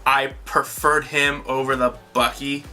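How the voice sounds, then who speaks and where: Male review co-host, bright forward voice, medium pitch, casual and halting, faint music underneath. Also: flat delivery. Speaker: co-host of a movie review show. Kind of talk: casual conversational film review chat.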